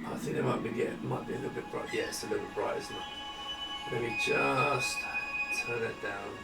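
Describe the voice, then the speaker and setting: Male product demonstrator, creaky voice, off-the-cuff and halting, heard from a distance with prominent music. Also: medium pitch. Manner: hesitant, thinking aloud while working. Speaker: presenter demonstrating a monitor product. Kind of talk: unscripted casual product demo. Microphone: camera-side microphone set away from him. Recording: roomy, distant microphone with music. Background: music from demonstrated speakers.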